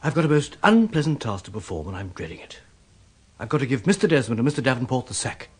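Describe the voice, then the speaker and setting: An actor playing a troubled, reluctant senior office manager in a radio drama. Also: male voice, medium pitch, very animated, fast, slightly rough. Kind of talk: British radio drama acting.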